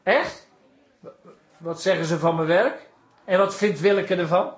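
Nervous stuttery artist in a radio drama, high voice, echoey room. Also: male voice, measured pace. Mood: nervous, reluctant. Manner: hesitant, stammering. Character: timid, panicky male artist hiding from his own exhibition. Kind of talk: radio play acting. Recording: roomy echo of a small space.

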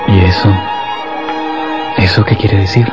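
An actor playing a weak, anxious conspirator in a radio drama, questioning and pleading. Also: male voice, lowish voice, steady tone, unhurried, lightly acted. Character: weak, anxious male conspirator, eager to follow. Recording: music behind the voice.

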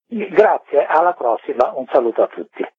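Husky man on a narrow phone line. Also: fairly high pitch, lively intonation, normal speed, no room sound. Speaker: theatre director, interview guest. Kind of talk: interview answers, conversational. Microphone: telephone. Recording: thin, muffled telephone line, husky signal.

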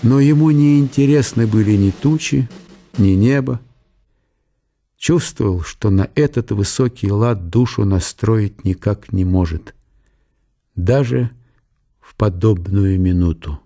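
A man's voice: lowish voice, a little slow, mellow. A man narrating a radio drama in a scripted, storytelling style.

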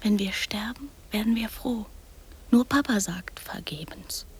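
Radio drama: small bossy girl, imaginative, breathy, secretive whispers, unhurried delivery. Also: mid-range voice. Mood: hushed, secretive. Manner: hushed, secretive whispering broken by sudden loud insistence.

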